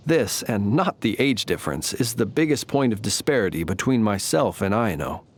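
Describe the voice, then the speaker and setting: A man voicing a courtly, humble lamp-bearing knight in a video game, speaking in formal, eloquent, old-fashioned phrases at a measured pace. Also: lowish voice, animated. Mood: reflective, courteous. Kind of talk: scripted game character voice lines.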